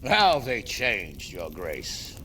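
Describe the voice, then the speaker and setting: A man's voice: low voice, huge pitch swings, slow, pushed and bright, a little hiss or hum, exaggerated voice. A man playing a blunt, wry, impatient medieval lord in a video game, with a sardonic manner. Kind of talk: dramatic video game acting.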